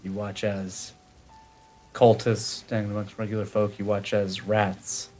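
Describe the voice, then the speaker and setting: Game master, man, slightly low voice, descriptive scene narration. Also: little pitch movement, measured pace, warm tone, soft background music. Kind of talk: narrating a live tabletop game.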